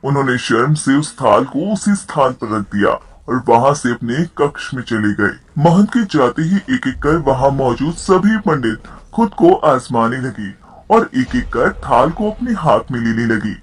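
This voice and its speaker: A man reads a folk story as its narrator. His voice sits at a medium pitch and follows a written script, telling the tale in the third person. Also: husky.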